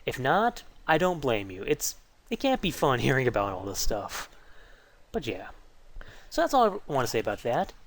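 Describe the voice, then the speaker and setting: A man's voice: mid-range voice, wildly swooping pitch, normal speed. A young man giving a casual, rambling update to viewers, often restarting sentences and putting himself down. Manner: self-deprecating, rambling with false starts. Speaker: tutorial video creator.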